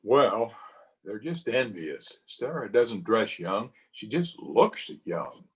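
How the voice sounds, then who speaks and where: A man's voice: fairly low pitch, big pitch swings, slow. A man playing an exasperated but fond husband in old-time radio drama, with a testy, theatrical delivery. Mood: polite, protective. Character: exasperated, fond husband of nine years, sparring with the maid. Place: at home, recorded over video call.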